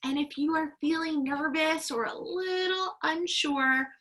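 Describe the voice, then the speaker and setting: A woman teaching young children in a read-aloud lesson, encouraging them with sing-song, drawn-out key words and simple instructions. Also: high voice, fairly even intonation, pushed and bright. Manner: encouraging, praising young learners.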